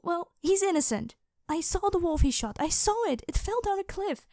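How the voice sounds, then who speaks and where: A woman playing a distressed but stubborn young woman in an audio roleplay, speaking with a pleading, halting manner. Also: very high-pitched, lively intonation, warm tone, no echo. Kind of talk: cinematic audio roleplay acting.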